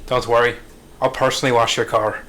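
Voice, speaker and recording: Guy doing a bossy gruff mob boss in a table read, medium pitch, keeps clearing his throat. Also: level tone, a little fast, a bit bright, a little hiss or hum, close to an everyday voice. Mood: controlled. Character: commanding, foul-mouthed male crime boss.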